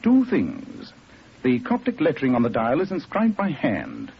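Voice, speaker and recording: An actor playing a brilliant, cool English detective with a precise, superior manner in 1940s radio drama. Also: male voice, fairly high pitch, wildly swooping pitch, moderate pace, breathy edge, full character voice. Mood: absorbed, superior. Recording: dull, noisy old radio recording.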